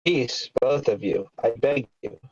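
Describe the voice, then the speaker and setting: A man's voice: mid-range voice, a little slow, breathy edge, no room sound. A man playing a diplomatic, pleading herald in a screenplay table read over a glitchy online call. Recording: dull, glitchy online voice call, faint music.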